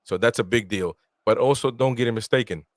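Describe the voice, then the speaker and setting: A man's voice: mid-range voice, brisk, dry recording. A Peruvian-born man hosting a casual football watch-along live stream, chatting loosely and reading out viewer comments. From Peru. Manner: laid-back, chatty, reads out viewer comments.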